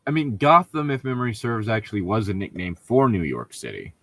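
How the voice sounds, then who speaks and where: Animated low-voiced man riffing sarcastically. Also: fairly quick. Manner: sarcastic, joking, profane. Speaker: co-host reading and mocking fan fiction. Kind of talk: casual comedic banter and read-aloud.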